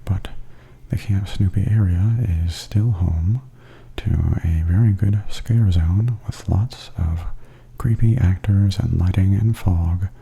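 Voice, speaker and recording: A man giving softly spoken ASMR tour commentary in a hushed, breathy half-whisper. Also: low-pitched, slow pace. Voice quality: soft, breathy. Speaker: host of ASMR walking tour videos.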